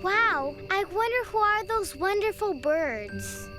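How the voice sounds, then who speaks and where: A woman voicing a little young duckling, earnest and childlike, in a children's animated storybook. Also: very high-pitched, slow pace, over music, exaggerated voice. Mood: awed. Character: sad, self-pitying little young female duckling.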